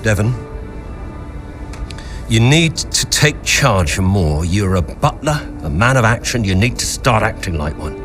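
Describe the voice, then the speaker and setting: An actor playing a middle-aged, ambitious father and racing team owner, speaking in a commanding, deliberate way for a game story. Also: on the low side, very animated, a bit bright, soft background music. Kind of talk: cinematic game story dialogue.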